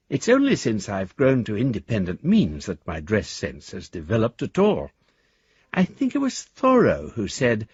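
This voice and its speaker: An actor playing a courteous, shy, rambling English gentleman reading his letter in a radio drama, formal and gently whimsical. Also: mid-range voice, husky, dry recording, dull audio, exaggerated voice.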